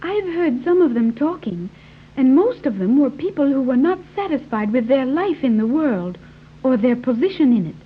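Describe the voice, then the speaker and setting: A woman's voice: sits high, very animated, normal speed, a little hiss or hum. A woman playing Joan, a precocious, formal young girl raised by scientists, in a 1930s radio serial. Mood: matter-of-fact, grave. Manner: precise, formal diction. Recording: muffled, tinny 1930s radio recording.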